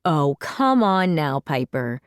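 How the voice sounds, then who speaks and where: A woman voicing an exasperated, scolding mother in a children's storybook, with emphatic, drawn-out words. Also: low-pitched, sing-song, dry recording, clean studio sound, voice pushed down, heavily acted. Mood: shocked, scolding. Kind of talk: children's storybook read-aloud.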